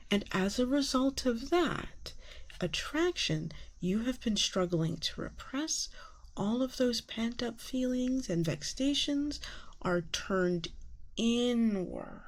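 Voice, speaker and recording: A woman playing a soothing, subtly manipulative therapist in an ASMR roleplay, coaxing in breathy half-whispers. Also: mid-range voice. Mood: probing, knowing. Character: soothing, subtly manipulative female therapist guiding hypnotic relaxation.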